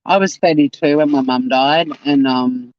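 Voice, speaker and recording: A man's voice: medium pitch, fairly even intonation, normal speed, no echo, highs cut off. A man in his fifties chatting casually on a live stream, reading out and answering viewer comments. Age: 50s. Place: home.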